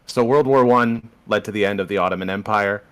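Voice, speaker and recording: A young Canadian man from Southern Ontario, a podcast guest laying out history in a measured, explanatory way. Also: lowish voice, clear, resonant tone, lo-fi sound. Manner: explanatory, measured, walks through history step by step. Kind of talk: casual discussion.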